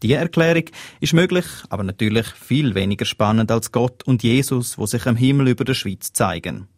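Swiss German radio reporter, dry studio. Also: male voice, mid-range voice, expressive, a little fast, no echo. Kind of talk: scripted news report.

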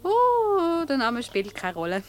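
A sly, flirtatious woman in a radio comedy, with a high voice that swoops about freely. She is teasing and sure of herself, and speaks with a Swiss German accent. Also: wildly swooping pitch, takes it slowly, a little mellow, full character voice. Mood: teasing, knowing. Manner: teasing, self-assured. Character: playful, cunning, flirtatious married woman fooling a detective.

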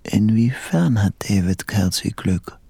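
Young obsessed lover in a radio drama, touchy and insistent, voice swings up and down. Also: male voice, on the low side, expressive, measured pace, creaky, mellow, slightly rough, exaggerated voice. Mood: brooding. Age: young adult. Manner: insistent, defensive. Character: young obsessed lovesick man, proud, tense, touchy. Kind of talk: German radio play acting.

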